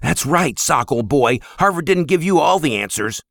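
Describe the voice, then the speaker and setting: A man's voice: fairly high pitch, expressive, normal speed, gravelly, fairly bright tone, no room sound, pitched above the performer's own voice. A man voicing a smug, pushy younger relative who gloats and brushes things off. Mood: gloating. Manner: gloating, dismissive. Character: smug pushy male cousin in the family bakery.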